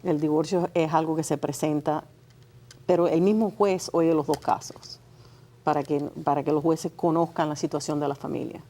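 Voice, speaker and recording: A woman's voice: low-pitched, steady tone, normal speed, dark tone. A Cuban-born woman in her sixties, a family court judge, answering interview questions in a plain, firm, explanatory way. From Cuba.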